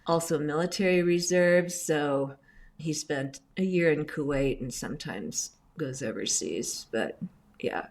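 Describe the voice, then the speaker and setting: A middle-aged woman, raised in Indonesia, telling chatty stories with lively asides as a guest in an interview. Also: deep voice, a little slow. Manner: chatty, animated storyteller with asides. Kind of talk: casual storytelling interview.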